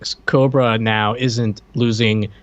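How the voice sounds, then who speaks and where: A man's voice: on the low side, flat delivery, slow pace, dry recording, muffled recording. A man co-hosting a podcast, chatting casually about pop culture in a rambling way, repeating words as he thinks aloud. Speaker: podcast co-host.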